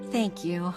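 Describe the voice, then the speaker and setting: Earnest, lower-voiced mother and secretary. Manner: sincere, courteous, modest. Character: earnest, humble adult woman secretary, a devoted mother. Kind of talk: anime video game voice acting. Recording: clear music behind the voice.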